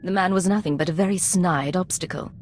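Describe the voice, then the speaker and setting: A woman's voice: lowish voice, fairly quick, darkish tone, slight background noise, natural voice. A woman acting a composed undercover intelligence agent in a video game, speaking in a clipped, formal, controlled way.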